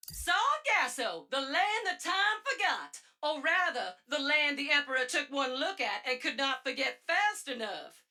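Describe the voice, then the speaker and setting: A woman's voice: high-pitched, bright, forceful tone, exaggerated voice. A woman playing a wry, chatty resistance informant, narrating tongue-in-cheek audio logs for a video game in a theatrical way. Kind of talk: scripted comedic narration.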